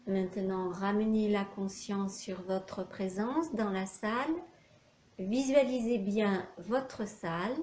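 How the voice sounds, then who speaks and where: A woman leading a guided yoga nidra relaxation as an instructor, speaking in short instructional phrases with long pauses. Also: mid-range voice, darkish tone. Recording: echoey room, faint background noise.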